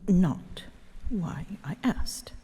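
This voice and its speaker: A proud, prickly ancient she-dragon, acted by a woman for an ASMR roleplay. She speaks very slowly and formally, with halting pauses and swooping pitch, guarded tenderness under the haughtiness. The voice often sinks toward a whisper and rings in a long reverb. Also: expressive, warm tone. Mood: bashful, defensive. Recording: echoey, reverberant, faint background ambience.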